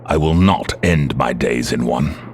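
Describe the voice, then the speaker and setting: Male actor as commanding leader; very low, formal, deliberate, slight noise. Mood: grave. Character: grave older man, mutant leader. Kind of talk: fan audio drama acting.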